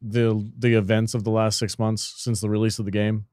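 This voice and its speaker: A man hosting a gaming podcast in a loose, energetic, profane casual chat. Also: fairly low pitch, fairly quick, no echo, clean studio sound. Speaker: podcast host.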